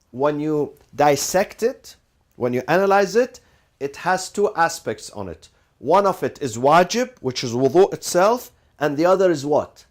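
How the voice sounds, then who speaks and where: A man teaching a class, posing rhetorical questions, answering them himself and repeating key phrases, with Arabic legal terms mixed in. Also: a little high. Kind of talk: classroom lecture.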